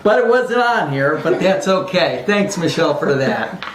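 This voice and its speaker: A man's voice: a little high, expressive, normal speed. A man giving an informal mock sports report, rambling and stumbling with self-deprecating asides. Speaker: amateur sportscaster, sidekick co-host. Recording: echoey room, faint background noise.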